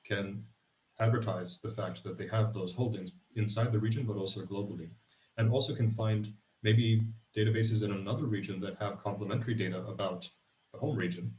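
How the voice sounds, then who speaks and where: A man on the project team explaining things calmly in an online meeting, heard in an echoey room away from the mic. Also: fairly low pitch, brisk. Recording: echoey room, far from mic, faint noise.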